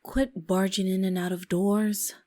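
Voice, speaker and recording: A woman's voice: mid-range voice, flat delivery, a little slow, a little mellow, dry recording, natural voice. A woman voicing a dry, level-headed female doctor in a fan dub of a game. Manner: dry and exasperated. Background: faint music.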